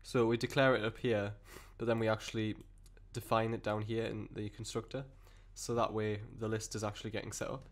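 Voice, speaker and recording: A man with a cold and a croaky voice, explaining code step by step in a rambling way with many fillers and restarts. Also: on the low side, flat delivery, brisk, few pauses. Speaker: programming tutor. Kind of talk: screen-recorded coding tutorial.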